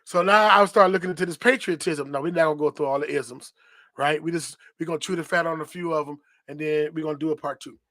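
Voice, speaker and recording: Man, podcast host, preachy teaching, repeats himself, slightly high voice, dry close sound. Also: fairly even intonation, fast, bright, forceful tone, no echo. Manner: preachy, repetitive, rhetorical "right?" tags; reads dictionary definitions aloud.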